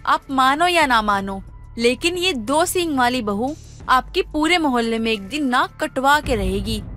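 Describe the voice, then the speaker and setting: A woman playing a spiteful in-law who taunts sarcastically in an animated family story. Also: high voice. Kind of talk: animated family drama dialogue.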